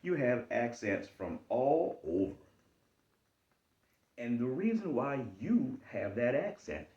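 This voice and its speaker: A man's voice: reverberant, muffled recording. A man from Charleston with a Charleston Geechee accent, giving an emphatic, repetitive motivational talk. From Charleston, South Carolina. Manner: emphatic, repetitive, lively swings in pitch. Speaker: self-help speaker.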